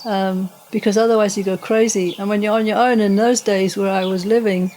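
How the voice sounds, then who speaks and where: An older woman who grew up in Tanzania, a podcast guest recalling her life in a rambling, relaxed way with many restarts. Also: medium pitch, fairly quick, a little hiss or hum. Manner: rambling, warm recollection with frequent restarts and soft laughs. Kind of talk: relaxed conversational storytelling.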